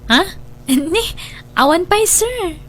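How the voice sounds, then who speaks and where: A frank young Ilocano best friend in a radio drama. Her voice is very high, and she speaks with teasing reluctance. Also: animated, slow, fairly bright tone, a little hiss or hum, heavily acted. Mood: shy, polite. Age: young adult.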